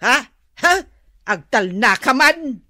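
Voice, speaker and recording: An actress playing a complaining middle-aged auntie in an Ilocano radio comedy-drama, with an Ilocano accent and drawn-out exclamations. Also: female voice, a little high, lively intonation, bright, forceful tone, dry recording, full character voice. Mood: grumbling. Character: complaining older auntie, grumbling and ungrateful, later pleading.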